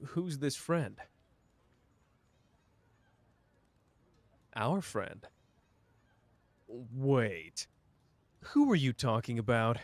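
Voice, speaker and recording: A sharp, teasing young man, played by a male actor, in an intimate listener roleplay. He speaks close and low-key in a mid-pitched voice, dry and quick-witted, with a protective streak under the mockery. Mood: wry.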